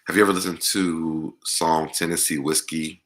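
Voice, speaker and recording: An American man with a deep voice hosting a casual music Q&A, talking conversationally and repeating words for emphasis. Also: little pitch movement, normal speed, a bit bright. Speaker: online show host. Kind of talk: casual live Q&A chat about music.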